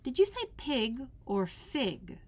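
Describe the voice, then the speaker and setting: Female reader as a calm mad cat, slow teasing drawl, creaky, swooping pitch, storybook. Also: exaggerated voice. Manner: slow, drawn-out, teasing delivery. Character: grinning, calm, slyly mad talking cat. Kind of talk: storybook read-aloud.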